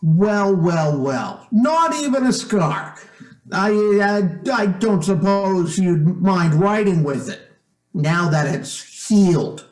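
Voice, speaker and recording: An actor playing a shrewd, outwardly genial stepfather who probes with quiet menace in an old-time radio suspense drama. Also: sits high, expressive, roomy sound. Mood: slyly suspicious. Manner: deliberate, probing, hesitant phrasing.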